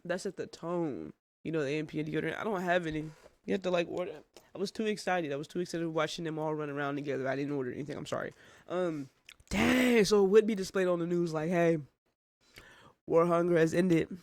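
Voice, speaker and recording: A man's voice: on the high side, fast talker, dry recording. A man giving casual reaction commentary as a host, informal and full of rhetorical questions.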